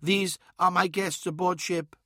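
A man voicing a calm, commanding woman in an audiobook, speaking with smooth assurance. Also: high-pitched, unhurried, no echo, studio quality, pitched above the performer's own voice. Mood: soothing authority.

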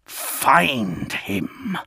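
A man's voice: animated, takes it slowly, gravelly, fairly bright tone, slightly rough, no echo, studio quality, exaggerated voice. Man voicing a brooding, growling warlord, terse and secretive. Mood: seething. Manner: low, growling, terse commands. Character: brooding male warlord primarch, proud, secretive, quietly wrathful. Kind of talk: dramatic audiobook character.

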